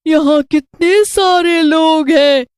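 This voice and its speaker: A woman's voice: very high voice, takes it slowly, dry recording. A woman voicing a gloating, bloodthirsty witch with a menacing, cackling manner in a cartoon horror story. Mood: gloating, threatening. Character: gloating, bloodthirsty witch who preys on people.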